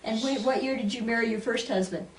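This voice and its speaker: A woman's voice: on the high side, fast talker, a little hiss or hum. A woman conducting a casual interview, asking simple, curious follow-up questions. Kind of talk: casual oral history interview. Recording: echoey room, distant microphone.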